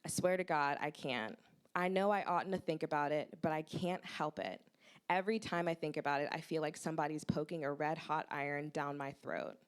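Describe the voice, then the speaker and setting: A woman's voice: sits low, a little fast, clear, resonant tone, lightly acted. Woman reading as a bitter young man. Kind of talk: reading dialogue aloud from a novel.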